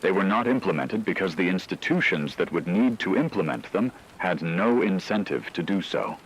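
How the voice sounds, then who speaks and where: A man playing a grave radio news broadcaster, reading a scripted bulletin in a solemn, measured and formal way. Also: deep voice, lively intonation, slight background noise, low-quality recording. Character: grave male radio broadcaster reporting societal collapse.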